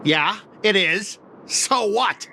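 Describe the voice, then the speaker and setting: An actor playing a cocky, scheming boss in a video game, theatrical, scornful and commanding. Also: male voice, sits high, expressive, drawn-out words, bright, forceful tone, slight background noise, exaggerated voice. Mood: smug, bold. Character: cocky scheming boss, reckless gambler giving orders. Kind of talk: video game cutscene acting.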